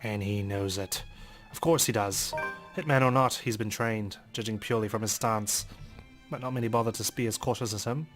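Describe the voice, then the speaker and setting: A man's voice: fairly low pitch, moderate pace, faint music behind. A man narrating a visual novel in the first person, with a theatrical, wry delivery. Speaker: let's play narrator.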